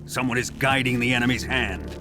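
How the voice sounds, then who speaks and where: A man's voice: medium pitch, fairly even intonation, a little fast, close to an everyday voice. A man acts out a menacing Soviet military commander in a cinematic game. He is cold, suspicious and contemptuous, with a mid-range voice that gives orders over prominent music. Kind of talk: dramatic video game cutscene acting.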